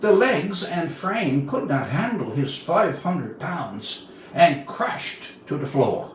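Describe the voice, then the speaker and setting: A man in his seventies reads a literary story aloud, recorded from some distance in a roomy space. His voice sits quite high for a man and moves freely up and down. He takes his time, phrasing like an expressive storyteller with dramatic pauses. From Newfoundland, Canada. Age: 70s. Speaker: author reading his own story. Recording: roomy, distant mic, faint background noise.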